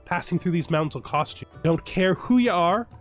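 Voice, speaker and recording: A man's voice: sits high, animated, a little fast, soft background music. A man voicing a fearsome male bandit leader in a strategy game, making blunt, threatening demands. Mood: menacing.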